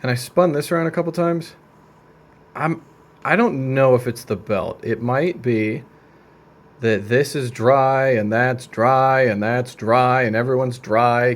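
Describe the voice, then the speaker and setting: A young man talking casually and deadpan as he narrates a hands-on repair, with slangy, wry delivery. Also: medium pitch, a little slow. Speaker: video creator. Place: indoor room at a desk.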